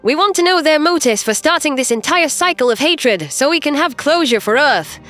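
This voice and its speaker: A woman playing a composed human starship captain in a sci-fi audio drama, earnest, measured and persuasive. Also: sits high, lively intonation, a bit bright, soft background music. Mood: pleading, earnest. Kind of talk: audio drama character acting.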